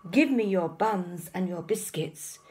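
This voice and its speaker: A woman voicing a greedy, bullying male rat highway robber from a storybook, loud in his demands and boastful. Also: unhurried, slight background noise, lightly acted. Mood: bullying, demanding.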